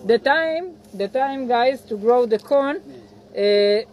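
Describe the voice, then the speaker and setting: A man in his forties, an agronomist, explaining farm work patiently in halting, non-native English beside a field. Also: very high-pitched, animated. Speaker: agronomist guiding a farm tour. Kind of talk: outdoor field lecture. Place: outdoors by a cornfield.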